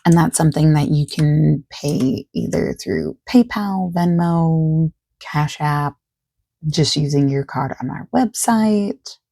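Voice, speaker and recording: A woman speaks softly and close in a very dry recording, her husky voice low and mellow. She plays a friendly salesperson in an ASMR roleplay, gently over-explaining in an unhurried, reassuring way. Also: animated, no room sound. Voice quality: soft, husky, creaky. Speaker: ASMR creator playing a friendly phone salesperson. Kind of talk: soft-spoken ASMR roleplay, improvised one-sided phone sales call.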